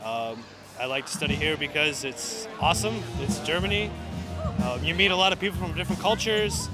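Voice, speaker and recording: A man's voice: fairly high pitch, fairly quick. A man in his twenties with an American accent giving a casual student testimonial. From United States. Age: 20s. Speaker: international student. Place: outdoors by campus bike racks. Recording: loud music behind outdoor speech.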